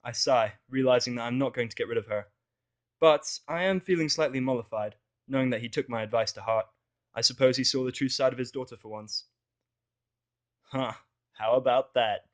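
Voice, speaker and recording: A man's voice: medium pitch, brisk. A man reading a scripted first-person fan fiction story as its narrator.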